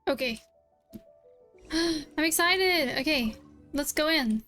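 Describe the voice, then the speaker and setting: A woman streaming a video game, reacting casually and excitedly with frequent exclamations. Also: high voice, slow pace. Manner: excitable, with repeated exclamations and gasps. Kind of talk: casual gameplay reaction commentary. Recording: game music faintly behind voice. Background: video game music.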